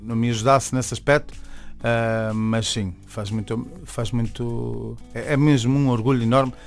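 Brief: young man, Venezuelan-tinged Beira accent, halting guest answers with fillers, faint music under. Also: mid-range voice, steady tone, gravelly. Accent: Venezuelan-tinged Beira Portuguese. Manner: hesitant, many restarts and fillers. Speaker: musician and barber, interview guest. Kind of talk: casual interview talk.

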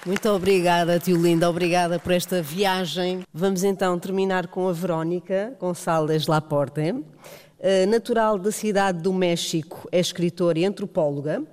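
A man with a high voice moderates a literary event and formally reads out the speakers' introductions. His voice rings with the long reverb of a large hall. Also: fairly even intonation, normal speed, darkish tone, a faint crowd.

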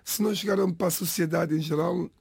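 A middle-aged man from the Lisbon area whose first language is Cape Verdean Creole, giving a halting, reflective personal account in an interview. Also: on the high side, steady tone, creaky, a little mellow, slightly rough, no room sound. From Portugal, Lisbon area. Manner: halting, restarts phrases, pauses between words.

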